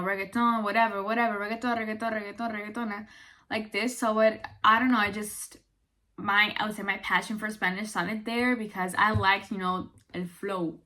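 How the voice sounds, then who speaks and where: A young woman talks straight to her viewers in a bright, clear voice. She is chatty and animated and fills her sentences with "like" and "you know". Her pitch sits in the middle range for a woman. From Italy. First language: Italian. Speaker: vlogger. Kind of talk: casual talk to camera.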